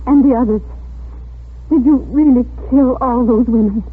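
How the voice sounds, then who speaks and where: An anxious, earnest landlady in a vintage radio thriller. Her voice is very husky and urgent, heard through a muffled, narrow old recording. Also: fairly high pitch, moderate pace. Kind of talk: 1940s radio acting.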